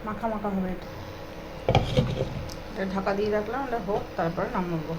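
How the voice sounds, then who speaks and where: A woman's voice: mid-range voice, fairly quick. Bengali woman cooking amid noisy sizzle. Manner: step-by-step narration of own actions. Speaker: home cook vlogger. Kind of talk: casual cooking demonstration. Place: home kitchen, indoors. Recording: noisy home kitchen, frying and sizzling. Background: frying, sizzle, cooking noise.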